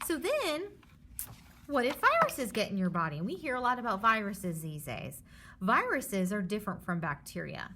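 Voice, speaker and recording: A woman's voice: mid-range voice, pushed and bright, a little hiss or hum. A woman reading a children's book aloud in an instructive way, adding simple explanations and often checking that listeners follow.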